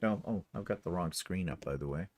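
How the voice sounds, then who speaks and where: A man's voice: deep voice, a little fast, darkish tone. Chatty man reading out comments. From Canada. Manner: reads comments aloud, chuckling asides. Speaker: livestream co-host. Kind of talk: casual chat reading viewer comments.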